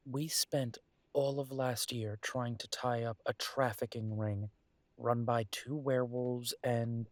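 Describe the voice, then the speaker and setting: A man acting an affectionate, world-weary werewolf detective in an intimate audio drama, soft and coaxing with thoughtful pauses. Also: mid-range voice, no echo, close to an everyday voice.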